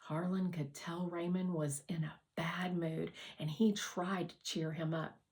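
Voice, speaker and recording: Slow-paced woman reading a story. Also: lowish voice, steady tone, darkish tone. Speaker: audiobook reader. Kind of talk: scripted read-aloud narration of a children's novel.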